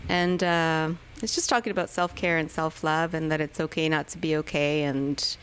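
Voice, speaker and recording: A woman's voice: low-pitched, steady tone, normal speed, slight background noise, poor audio. A woman in her thirties whose first language is Spanish, chatting hesitantly as a guest musician in a radio studio, with many fillers and restarts. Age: 30s. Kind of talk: casual radio interview chat.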